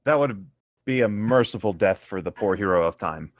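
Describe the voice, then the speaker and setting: A man's voice: on the low side, animated, normal speed, no echo. A man casually joking and reading bits of a story aloud with sarcasm. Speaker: co-host reading and riffing on a story.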